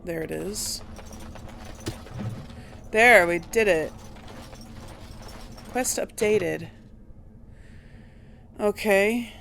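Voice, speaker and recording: A woman's voice: mid-range voice, extremely slow, vocal fry, long pauses, fairly bright tone, slight background noise. A woman doing casual video game commentary, musing aloud and questioning herself as she plays. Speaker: let's play host.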